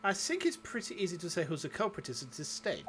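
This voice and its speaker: A man's voice: high voice, huge pitch swings, fast. A man with a Yorkshire accent voicing a brash, cocky male agent over game music.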